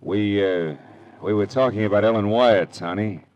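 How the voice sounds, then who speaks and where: Actor as hard-boiled, low-key sardonic radio detective. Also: male voice, normal speed, lo-fi sound, lightly acted. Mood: wary, probing. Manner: sardonic, clipped, tough wisecracking. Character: hard-boiled wisecracking male private detective, narrating his own case. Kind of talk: 1940s-50s radio crime drama acting, first-person narration. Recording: old radio recording with music behind.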